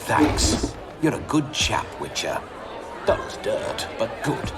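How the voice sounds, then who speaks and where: A man's voice: mid-range voice, very animated, slow pace, a loud crowd. A man voicing a vain, lecherous ghost of a nobleman in a video game, theatrical and teasing. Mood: playful, boastful. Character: rakish, boisterous ghost of a nobleman, vain reveler. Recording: noisy, distant game scene capture.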